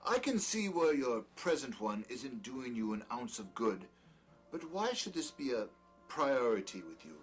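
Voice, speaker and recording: A haughty, smooth-talking doctor played by a male actor in an audio drama. His formal, wordy speech swings animatedly in pitch, courteous on top and scornful underneath. Music plays behind him. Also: sing-song, unhurried. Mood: condescending, probing. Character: peculiar, condescending male doctor, smoothly charming yet scornful. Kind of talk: dramatic dialogue in an audio play or table reading.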